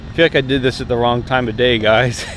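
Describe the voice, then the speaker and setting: A man's voice: medium pitch, fairly even intonation, fast, fairly bright tone. American man vlogging outdoors over background noise. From United States. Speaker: amateur radio vlogger. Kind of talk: casual instructional vlog to camera. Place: outdoors, open park path beside water. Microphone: camera held at arm's length. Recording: outdoor recording with traffic and machinery noise. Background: jets, vehicles, urban outdoor noise.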